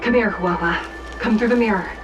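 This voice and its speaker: An actress playing a stern, composed judge in a video game, speaking in a formal, measured way. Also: female voice, mid-range voice, highs cut off, over music, natural voice. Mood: beckoning. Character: stern, composed female judge, an illusory double. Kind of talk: video game character acting.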